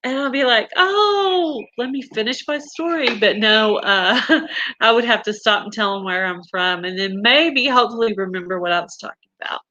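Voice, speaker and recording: Chatty Texan woman, bright dry voice. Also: on the high side, moderate pace, no echo, highs cut off. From Texas. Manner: chatty storytelling with fillers and restarts. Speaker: live stream host. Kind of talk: casual chat reading viewer comments.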